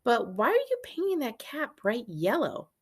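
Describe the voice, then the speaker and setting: A woman voicing an excitable, bossy boy from a storybook who exclaims urgently and gets exasperated easily. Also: on the high side, moderate pace, slightly rough, voice pushed up, exaggerated voice. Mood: alarmed.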